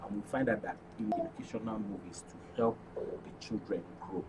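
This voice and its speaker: A man's voice: fairly low pitch, fairly even intonation, moderate pace. A man with a Nigerian accent gives unscripted interview answers, explaining earnestly in long, winding sentences. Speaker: studio guest, actors' guild official. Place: radio and TV studio. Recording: distant microphone with clear background noise.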